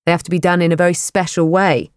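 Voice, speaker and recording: A woman's voice: on the low side, fairly even intonation, fast, a little mellow, smooth, dry recording, natural voice. A big, kind but dim young man who talks with cocky, self-important slang, as a woman reader puts him on. He sounds a little distant and dry in the recording.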